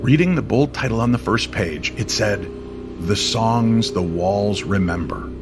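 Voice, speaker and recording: A man telling a mysterious story in old-time radio style, expressive and measured, with weighty pauses. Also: on the low side. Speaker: co-narrator of an audio story series. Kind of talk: dramatic scripted story narration in old-time radio manner. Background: music under the voice.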